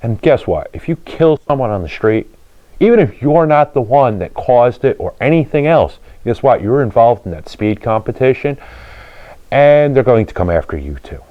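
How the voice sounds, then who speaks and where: A man's voice: mid-range voice, very animated, moderate pace, no room sound, narrow, tinny audio. A man hosting a vlog, casually telling stories and explaining things in plain, colloquial speech. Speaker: host of a car channel, drag racer. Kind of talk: casual storytelling and explaining to viewers.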